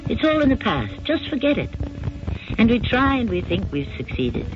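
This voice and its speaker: A man's voice: extremely high pitch, huge pitch swings, normal speed, music in the background. A man hosting an old-time radio drama, narrating in an emphatic, theatrical, philosophical manner. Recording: dull old radio recording.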